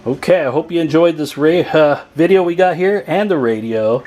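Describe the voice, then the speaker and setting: A man's voice: on the high side, moderate pace, a little mellow, soft background music. An American man giving a casual, folksy hands-on demonstration as a video host, chatting to viewers as he works. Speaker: radio repair hobbyist and video host.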